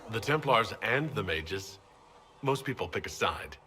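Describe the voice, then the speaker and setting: Low-voiced, earnest fantasy hero. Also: male voice, animated, unhurried, sharp tone, some chatter behind. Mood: probing, civil. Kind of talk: video game dialogue acting. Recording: long hall reverb.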